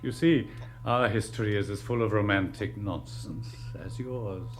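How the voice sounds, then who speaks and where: A man's voice: lowish voice, moderate pace, lightly acted. A man playing a thoughtful alien spaceship crewman, telling things solemnly and poetically in a 1960s radio drama. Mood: solemn, reflective. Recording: vintage radio drama, faint music.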